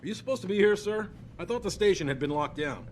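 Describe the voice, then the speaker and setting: A man's voice: high-pitched, animated, quick pace, over music. A man voicing a wary male police officer in a game, polite and questioning.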